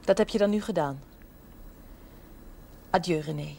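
Gespannen, afwerende jonge brouwersdochter. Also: female voice, lowish voice, a little slow, creaky, warm tone, slight background noise. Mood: tense, cold. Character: guarded, frightened young brewer's daughter from Limburg. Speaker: actress in a television drama. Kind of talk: 1970s Dutch television drama acting. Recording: old television drama soundtrack.

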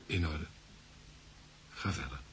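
An actor playing a calm psychiatrist in a radio play, coaxing and probing in a measured, clinical way. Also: male voice, a bass voice, husky, full character voice. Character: calm, probing male psychiatrist guiding a patient. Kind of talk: Dutch-language radio play acting.

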